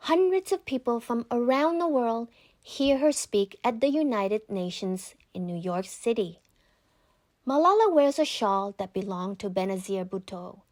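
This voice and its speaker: A woman narrating a children's book aloud in a scripted, deliberate and expressive read-aloud style. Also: mid-range voice, dry recording, studio quality.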